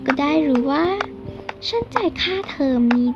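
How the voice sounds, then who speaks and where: A woman's voice: sits high, very animated, normal speed, dull audio. A young-sounding female storyteller improvising a schoolgirl role-play skit, exclaiming and drawing out her words. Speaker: storyteller voicing a homemade game skit. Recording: loud music behind the voice. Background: loud music.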